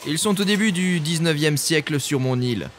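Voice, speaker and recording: A man's voice: on the high side, animated, normal speed, close to an everyday voice. A man playing a self-assured, eccentric tycoon-scientist in a comedic sci-fi radio play, pompous, theatrical and evasive. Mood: breezily confident.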